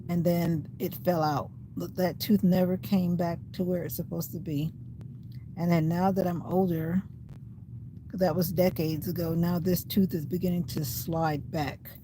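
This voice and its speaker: Chatty older woman, slightly raspy voice. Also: lowish voice, level tone, measured pace, noisy background. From USA. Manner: rambling, chatty, talking to herself. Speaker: vlogger. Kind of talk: casual livestream chat. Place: inside a parked car in the rain.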